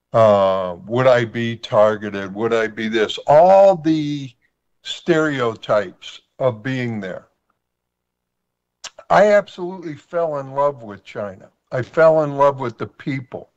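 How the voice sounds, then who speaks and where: A man hosting a radio talk show, speaking in a measured, thoughtful, conversational way. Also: mid-range voice, a little mellow, dull audio. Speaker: radio host.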